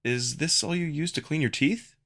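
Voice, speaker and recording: A man's voice: a little high, normal speed, creaky, a bit bright, voice pushed up. A man playing a wary, hesitant young human man in a visual novel, talking casually and a little groggily. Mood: hesitant, curious. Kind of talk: visual novel character dub.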